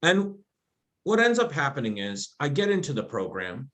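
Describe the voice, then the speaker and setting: An animated man guest in casual conversation, spinning a long personal story. He laughs easily and stumbles into quick restarts. His voice sits at an ordinary middle pitch. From Afghanistan, raised in the United States. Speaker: podcast guest, entrepreneur.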